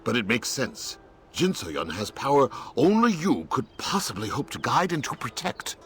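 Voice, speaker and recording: A man voicing a kindly, formal martial arts elder in a video game, measured, courteous and earnest. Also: mid-range voice, very animated, slight background noise, close to an everyday voice. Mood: grave, earnest.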